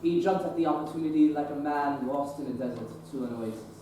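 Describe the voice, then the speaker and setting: A man's voice: a little high, a little fast, a little hiss or hum, lightly acted. Young man as an earnest, pious Victorian bishop. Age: young adult. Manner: earnest and gentle. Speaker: stage actor. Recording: echoey theater from far off.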